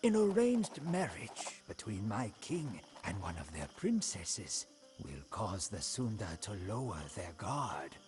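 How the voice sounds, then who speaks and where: A man's voice: lowish voice, huge pitch swings. An actor playing an ambitious, scheming old prime minister, solemnly and theatrically narrating his life for a storybook game campaign. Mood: proud, scheming. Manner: solemn, theatrical, deliberate, with hushed line endings.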